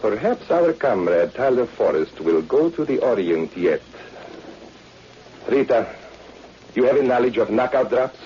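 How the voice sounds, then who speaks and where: A man's voice: mid-range voice, big pitch swings, unhurried, pauses often, dark tone. An actor playing a cold, commanding male spy boss in an old radio drama, with a stern, formal manner. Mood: cold, scheming. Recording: dull, noisy vintage radio recording.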